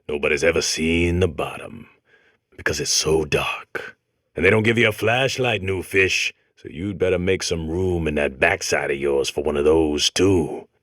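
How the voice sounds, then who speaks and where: A man's voice: rumbling low, a bit bright, dry recording, studio quality, full character voice. An American man voicing a comic game robot that talks like a grizzled old convict, lecturing in folksy, tough prison slang. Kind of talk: comic game character monologue.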